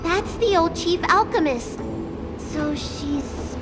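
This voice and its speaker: Game role: small dragon girl. Very high, slow-paced, childish, hesitant and plaintive. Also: animated, over music. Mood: sheepish, surprised. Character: childlike little dragon girl, playful, timid when caught. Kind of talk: video game voice acting.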